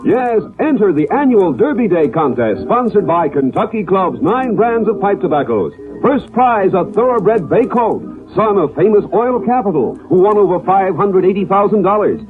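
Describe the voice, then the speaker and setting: A man's voice: sits high, sing-song, normal speed, hardly any pauses, music in the background. A man reading a sponsor's commercial as an old-time radio announcer. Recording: tinny old radio broadcast.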